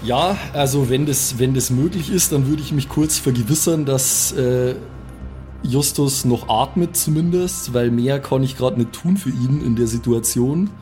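A man with a mid-pitched voice improvises as a player in a tabletop role-playing game. He describes his actions casually, halting often as he thinks aloud. Soft music runs underneath.

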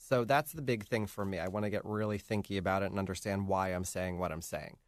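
A man's voice: low voice, fairly even intonation, fast talker, dry recording. A man hosting a casual podcast, chatty and laughing often, with plenty of fillers. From North Jersey. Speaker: podcast host. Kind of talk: casual chat.

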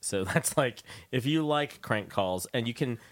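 A man's voice: mid-range voice, animated, a little slow, no echo. A man hosting a radio show, chatting casually with a guest in a rambling, tangential way. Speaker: radio show host and interviewer. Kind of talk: casual interview chat.

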